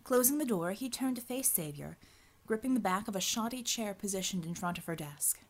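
A woman's voice: mid-range voice, lively intonation, a little fast. A woman reading a scripted fiction audiobook as a dramatic narrator.

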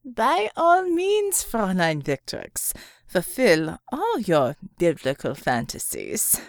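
A woman voicing a theatrical, menacing male German supervillain in an audiobook, with mocking, drawn-out lines and a German accent. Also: fairly low pitch, huge pitch swings, creaky, no room sound, studio quality, exaggerated voice. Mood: taunting.

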